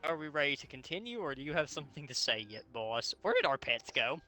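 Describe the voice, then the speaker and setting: Bright, forceful, sweary male storyteller. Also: on the high side, quick pace, soft background music. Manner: animated, sweary, mocking. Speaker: host reading a story aloud. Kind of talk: fanfiction narration with comic, casual commentary.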